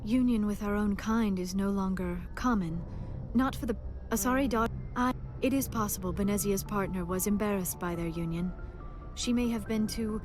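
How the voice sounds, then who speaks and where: A woman playing a gentle, scholarly female alien in a video game, speaking in formal, measured and precise phrases. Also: medium pitch, music in the background, natural voice. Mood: wistful, reflective. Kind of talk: video game dialogue acting.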